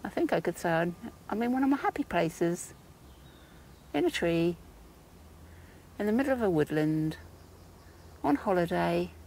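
A woman's voice: fairly low pitch, lively intonation, a little slow, gravelly, long pauses, darkish tone, a little hiss or hum. A woman narrating a casual nature walk vlog outdoors, musing in short phrases full of wonder. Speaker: vlogger. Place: outdoors in woodland.

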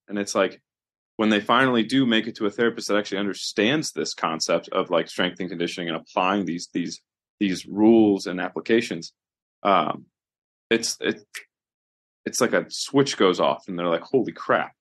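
A man's voice: low voice, normal speed. A man co-hosting a podcast, talking in a loose, conversational way with strong opinions. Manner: conversational, animated opinions, many fillers. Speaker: podcast co-host, physical therapist. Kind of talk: casual podcast discussion.

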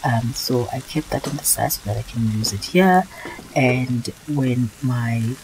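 Woman cooking vlogger, very deep voice, casual and halting, food sizzling clearly behind her. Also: a little mellow, low-quality recording. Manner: hesitant, halting delivery with frequent pauses. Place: home kitchen, indoors. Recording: clear frying sizzle and stirring noise. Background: food sizzling and being stirred.

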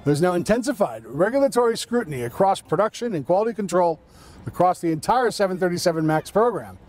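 A man hosting a news podcast, reading a scripted report in a measured, explanatory way. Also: sits high, lively intonation, darkish tone, faint music behind.

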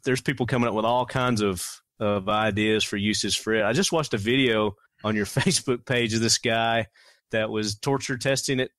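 A man hosting a casual podcast interview, relaxed and chuckling as he explains things. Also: on the low side, moderate pace, no room sound, studio quality. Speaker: podcast host.

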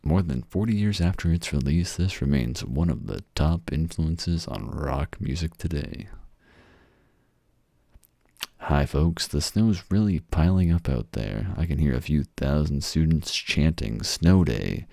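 Man doing a deep folksy classic rock DJ. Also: lively intonation, a little slow, creaky, hardly any pauses, warm tone, dry recording, clean studio sound, heavily acted. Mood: wry, easygoing. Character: folksy classic rock radio announcer, male, wry. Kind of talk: radio DJ patter.

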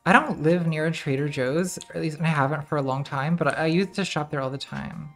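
A woman in her thirties with a very soft, quiet voice, chatting casually to her live-stream chat while playing a game, self-deprecating and laughing often. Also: low voice, fairly quick, faint music behind. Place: home streaming room, indoors.

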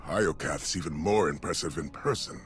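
A man's voice: a bass voice, normal speed. An actor voicing a grim, resolute male Republic commander in a video game. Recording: game audio with music score.

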